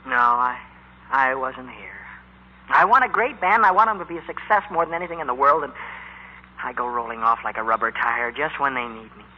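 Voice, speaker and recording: A man's voice: mid-range voice, lively intonation, fairly quick, lightly acted. An actor playing an eager, hapless teenage boy who stammers and pleads, in 1940s radio style. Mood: sheepish, earnest. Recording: muffled, noisy 1940s radio recording.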